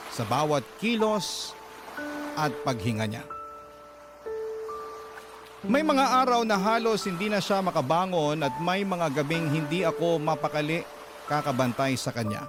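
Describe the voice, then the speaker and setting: A man narrating a radio drama as a devoted parent, earnest and sorrowful. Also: fairly high pitch. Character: devoted parent recounting a sick child's struggle. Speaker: narrator of a letter-based drama. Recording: music bed under narration.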